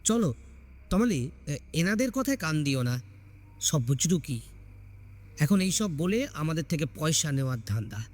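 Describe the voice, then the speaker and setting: A man's voice: a little high, very animated, unhurried, long pauses. A man voicing a protective, skeptical young husband in an audio story, speaking emphatically. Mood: dismissive. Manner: emphatic, questioning.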